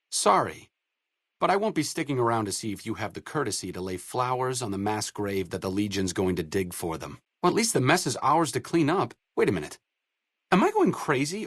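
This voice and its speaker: An actor playing an idealistic, self-deprecating young wasteland doctor in a video game, speaking in an articulate, conversational way with dry wit. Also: male voice, medium pitch, dry recording. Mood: sarcastic, indignant, then wry, disappointed. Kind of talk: video game dialogue acting.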